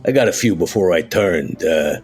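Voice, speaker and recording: A man voicing a streetwise, deferential century-old vampire with a gravelly, husky voice and hesitant, folksy old-time slang in a tabletop game. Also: low voice, expressive, normal speed, darkish tone, faint music behind. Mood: easygoing, humble.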